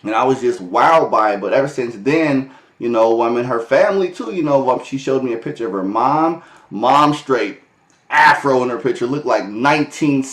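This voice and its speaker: An American man talking casually to his vlog viewers, with loose chatty delivery, restarts and frequent chuckles. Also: mid-range voice. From United States. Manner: loose and conversational, many fillers and restarts, laughs often. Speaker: vlogger. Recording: echoey room.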